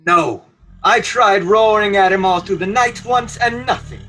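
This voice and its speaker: A man playing an earnest, matter-of-fact furry beast in an irreverent radio play, with a husky voice. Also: sits high, unhurried, over loud music, poor audio.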